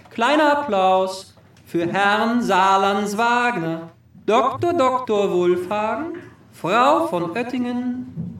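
Pompous high-voiced detective, very echoey. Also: male voice, a bit bright. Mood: pompous, ceremonious. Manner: pompous, long-winded, ceremonious. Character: pompous male detective unveiling a murderer to the suspects. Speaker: actor playing a detective. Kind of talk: theatrical comic monologue before an audience. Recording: echoey hall, distant microphone.

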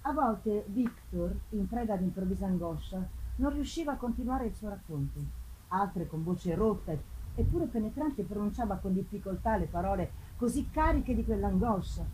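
A woman reading a gothic novel aloud as solemn, theatrical narration, with deliberate pauses, at a live performance. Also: mid-range voice, animated, warm tone. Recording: clearly noisy live reading with ambience.

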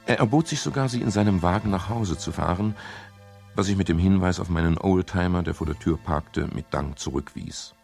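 A man's voice: low voice, fairly quick, creaky, a little mellow, faint music behind. An actor playing a wry, weary private detective in a radio crime play, dry and laconic as he narrates and talks. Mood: wry narration. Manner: dry, laconic, hesitant politeness. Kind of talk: 1970s German radio crime play, first-person narration and dialogue.

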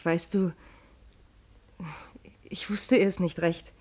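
A devoted, worried working-class mother in a radio play. Her voice is fairly low, very mellow and husky. She speaks tenderly, with hesitations and halting phrases. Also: steady tone. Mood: tender, hesitant. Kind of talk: German radio play acting.

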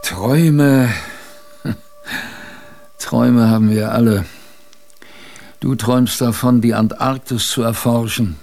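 An actor playing an older man in a radio drama, wryly recalling his youthful polar adventure with dramatic pauses and a gravelly voice. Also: mid-range voice, fairly even intonation, a little mellow. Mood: wistful, wry. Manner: dramatic storytelling with weighted pauses and rhetorical questions. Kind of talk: first-person radio drama narration.

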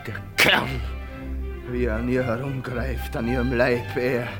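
A man's voice: medium pitch, little pitch movement, a little slow, over music, lightly acted. An actor playing a feverish young soldier losing his mind in a German radio play, speaking in obsessive, broken fragments. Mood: frenzied anguish. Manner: obsessive repetition in broken fragments, swinging between whisper and outburst.

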